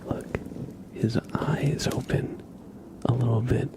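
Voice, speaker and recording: A man streaming ASMR live, chatting casually in a gentle, hushed, breathy whisper. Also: mid-range voice, fairly even intonation, unhurried.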